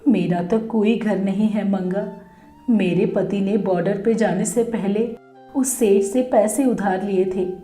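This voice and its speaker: A woman's voice: mid-range voice, fairly even intonation, slow, dark tone, echoey room, faint music behind, lightly acted. Woman playing a plaintive, meek young widow. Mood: sorrowful. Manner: plaintive, hesitant. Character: meek, sorrowful, weak young pregnant widow.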